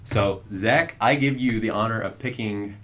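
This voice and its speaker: A young man with a Western Kentucky country accent chats on a podcast with a low, upbeat voice. He talks eagerly and hypes up his friends. A faint hiss sits under the recording. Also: moderate pace, a bit bright. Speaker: podcast co-host. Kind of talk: casual podcast chat.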